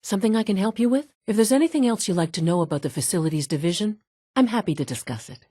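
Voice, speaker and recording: An actress voicing a poised, businesslike woman scientist in a video game, speaking in a measured, formal, matter-of-fact way. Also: medium pitch, animated, warm tone, breathy edge, no echo, studio quality. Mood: composed, informative. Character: poised, businesslike middle-aged woman scientist running an underground facility. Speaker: video game character, facilities division head. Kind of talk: scripted video game dialogue.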